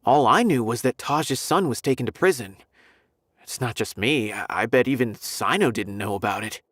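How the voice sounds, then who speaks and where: Earnest, animated young officer. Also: male voice, mid-range voice, moderate pace, dry recording. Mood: shocked. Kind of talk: video game voice acting.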